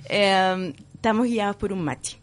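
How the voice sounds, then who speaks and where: A woman's voice: medium pitch, normal speed, background noise. A woman with a Chilean accent, speaking as a guest in a casual radio interview and explaining her products with enthusiasm and some laughter. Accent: Chilean Spanish. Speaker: guest entrepreneur.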